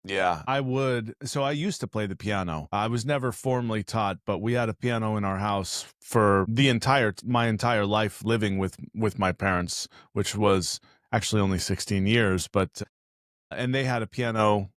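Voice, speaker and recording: A man's voice: fairly low pitch, normal speed, a bit bright, no room sound, clean studio sound. A man from Southern California hosting a casual interview podcast, chatty and joking as he rambles. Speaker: podcast host.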